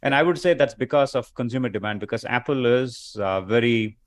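Male co-host, middle pitch, casual and opinionated, heavy on "right?". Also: fairly quick. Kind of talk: casual tech discussion.

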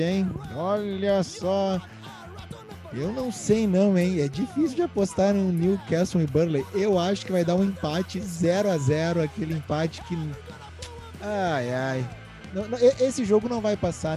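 Mellow-voiced joking man hosting a podcast. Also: sits high, expressive, unhurried, soft background music. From Rio Grande do Sul, Brazil. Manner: joking, playful banter. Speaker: podcast host. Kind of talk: casual football chat.

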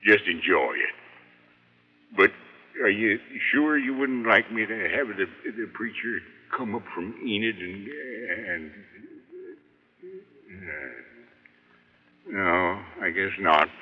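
An actor playing a crazy, big-hearted old cowboy with a rural Western American accent and a folksy, stammering manner in a radio drama. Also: male voice, on the low side, takes it slowly, long pauses, a little hiss or hum. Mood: earnest, grateful. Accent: rural American West. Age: older. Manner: folksy, stammering, repeats himself. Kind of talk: 1950s radio drama acting. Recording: dull vintage 1950s radio recording.

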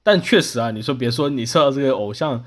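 A man's voice: medium pitch, lively intonation, fast. A man speaking as a podcast host in casual conversation. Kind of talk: casual chat.

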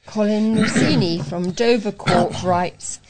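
A woman's voice: mid-range voice, takes it slowly, noisy background. A British woman reading newspaper letters aloud as a volunteer news reader.

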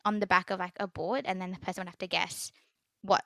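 A woman's voice: lowish voice, level tone, fast, gravelly, no echo, clean studio sound. A teenage girl hosting a podcast in casual chat, who stutters now and then and fills her talk with ums and giggles. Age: teens. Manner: stutters, many fillers and giggles. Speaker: podcast host.

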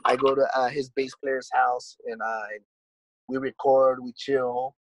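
A man's voice: medium pitch, level tone, unhurried, fairly bright tone, slight background noise, low-quality recording. A man and musician guest in a casual conversation, talking in a laid-back, rambling way with lots of swearing and "dude". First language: English.

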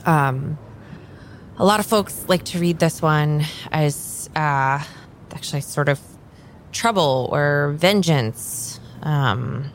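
An actress playing a jaded, reluctant tarot reader in a naturalistic improvised audio drama, hesitant and exasperated. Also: female voice, sits low, slow, slight background noise. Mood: uneasy, explaining. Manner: hesitant, sighing, muttering.

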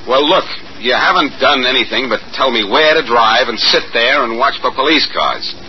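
An actor playing a cool, wry amateur sleuth in the dry, plain-spoken manner of 1940s radio drama. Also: male voice, mid-range voice, expressive, normal speed, lightly acted. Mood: exasperated. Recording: muffled, noisy old radio recording.

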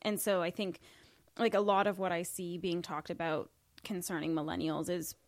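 A young woman with a low, gravelly voice co-hosts a chatty podcast. She sounds self-assured and matter-of-fact. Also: flat delivery, moderate pace. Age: young adult. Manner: confident, dry, matter-of-fact. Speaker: podcast co-host. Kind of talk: casual chat.

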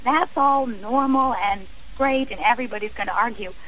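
A woman's voice: a little high, normal speed, a bit bright, slight background noise, low-quality recording. A woman speaking as an author and guest on a radio interview, earnest and emphatic, often repeating phrases for stress.

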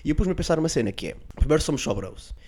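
A man's voice: fairly high pitch, rapid-fire, breathy edge. A man with a European Portuguese accent co-hosting a podcast, chatting casually and telling comic stories with big laughs. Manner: animated, laughs loudly at his own stories. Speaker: podcast co-host. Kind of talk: casual comedic chat.

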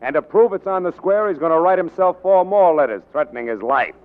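An actor playing a cynical, flippant newspaper reporter in a 1940s radio drama, delivering dry sarcasm. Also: male voice, on the high side, expressive, fairly quick. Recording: thin, narrow-band 1940s radio recording.

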